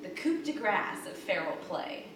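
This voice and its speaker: A woman's voice: medium pitch, sing-song, unhurried. A woman giving a dramatic, poetic scripted story narration live on stage. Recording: distant, echoey, noisy live theater recording.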